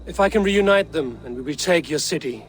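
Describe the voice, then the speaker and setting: Man acting as a stoic Viking raider, measured and terse. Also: fairly high pitch, expressive, a little hiss or hum, close to an everyday voice. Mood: steady, direct. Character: stoic male Viking raider and clan warrior. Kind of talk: video game dramatic acting.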